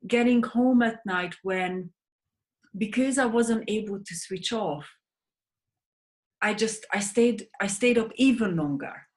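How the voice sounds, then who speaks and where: A woman's voice: medium pitch, takes it slowly. A woman in her thirties from Hungary with a Hungarian accent, a guest in an interview, speaking earnestly with frequent restarts over a video call. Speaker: guest, sleep advocate. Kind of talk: interview conversation.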